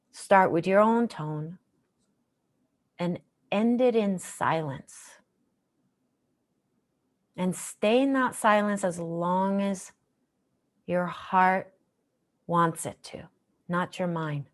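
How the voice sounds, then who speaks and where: A woman teaching a guided meditation in a gentle, measured, instructional manner. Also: on the low side. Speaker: meditation teacher, session host.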